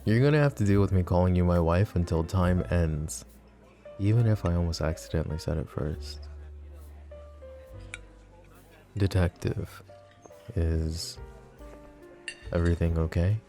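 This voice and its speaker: A man's voice: deep voice, moderate pace, mellow, soft background music. A man playing a flirty, possessive mafia boss in an ASMR roleplay, talking in an intimate, teasing, casual way straight to his lover. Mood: playful, flirty. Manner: intimate, teasing, casual, addressing the listener directly.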